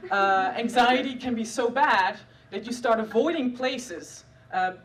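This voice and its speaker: A woman's voice: fairly high pitch, unhurried, recorded from a distance. A woman in her thirties from the Netherlands with a Dutch accent, performing a live stand-up set about her science work in a dry, self-deprecating way with frequent filler sounds. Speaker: neuroscientist doing stand-up. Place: stage at a live comedy show.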